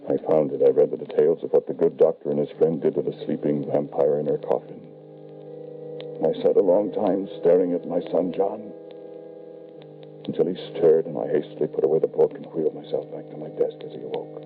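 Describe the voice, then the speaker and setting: An actor playing an anguished, grieving father who narrates his tale solemnly and haltingly in an old-time radio horror drama. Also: a bass voice, music in the background. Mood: grim narration. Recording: muffled, band-limited old radio recording.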